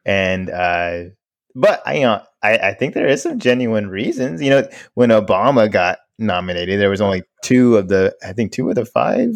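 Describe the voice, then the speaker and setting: Male co-host, lower voice, dry close sound, earnest explainer. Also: animated, moderate pace, vocal fry, no echo. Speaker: podcast co-host. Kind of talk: casual chat.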